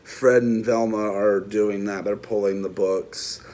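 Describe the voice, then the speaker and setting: A man's voice: lowish voice, steady tone, measured pace, darkish tone. A man giving a casual, unscripted movie review, rambling through restarts and side remarks while talking straight to his viewers. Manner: rambling, lots of restarts and trailing asides; chatty, addresses viewers directly.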